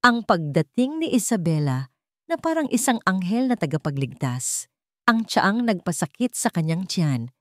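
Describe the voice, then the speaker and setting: A woman's voice: on the low side, big pitch swings, normal speed, no room sound, studio quality. A woman storyteller reading scripted fiction in a dramatic narration style.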